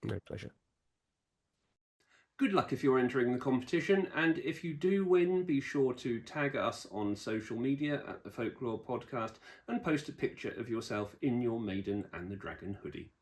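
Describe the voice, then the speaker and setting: A man speaks as the host of a podcast, with a medium-pitched voice. He addresses a guest and his listeners in the manner of an interview show. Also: lively intonation, normal speed.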